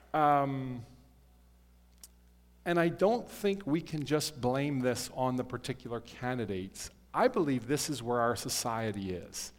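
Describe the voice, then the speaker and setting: A man in his fifties, a pastor, speaking to his congregation in a conversational, earnest way with frequent fillers and restarts. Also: a little high. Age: 50s. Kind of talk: sermon. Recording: long hall reverb.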